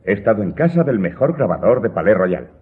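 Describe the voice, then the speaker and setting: A man's voice: on the low side, sing-song, fairly quick, husky, full character voice. An actor playing a nervous, obsequious steward in a radio drama, faltering as he answers his master. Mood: deferential. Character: deferential, nervous male steward serving a count. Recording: muffled, dull radio play audio.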